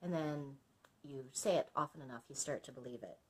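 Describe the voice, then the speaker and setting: A woman's voice: very deep voice, fairly quick. A woman librarian reading a story aloud expressively, breaking off to explain things in chatty asides.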